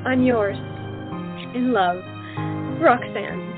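A woman's voice: a little high, extremely slow. An actress playing a romantic, devoted young colonial lady in love, in a period stage play. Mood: lovestruck. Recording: muffled recording with music behind.

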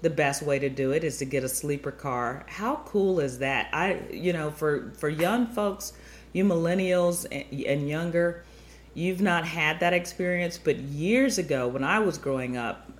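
A woman's voice: low voice, a little slow, a little hiss or hum. A middle-aged woman hosting a travel show, stuffy-nosed, chatting upbeat and rambling straight to her listeners. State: stuffy nose. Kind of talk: chatty podcast monologue.

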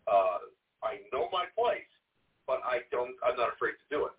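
Loud, rambling man on a phone line. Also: medium pitch, very animated, moderate pace. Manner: rambling, frequent "you know, I mean" fillers. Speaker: paranormal investigator. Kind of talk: conversational interview. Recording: phone-like band-limited audio.

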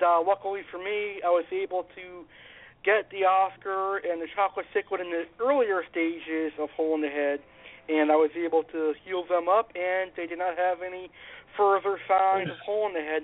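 A man's voice: high-pitched, fairly even intonation, fairly quick. Man with a cold hosting call-in radio. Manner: informal, repeats phrases, fillers. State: has a cold. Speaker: radio show host. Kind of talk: casual call-in radio talk. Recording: phone-like narrow band.

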